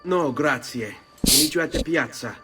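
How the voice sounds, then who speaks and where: An actor playing a hot-headed young Italian nobleman bent on vengeance in a video game, with an Italian accent and a husky voice. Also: fairly high pitch, moderate pace, sharp tone, faint music behind. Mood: polite, tense. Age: young adult. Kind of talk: dramatic video game acting.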